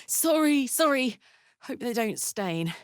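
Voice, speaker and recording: A woman's voice: a little high, sing-song, takes it slowly, dry recording. Tough fantasy game warrior woman, blunt n earthy but big hearted, takes her time talking, super dry close sound. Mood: sheepish. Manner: informal, blunt, earthy, emotive. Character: brash, warm-hearted, battle-hardened adult female fantasy warrior. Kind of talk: video game character voice acting.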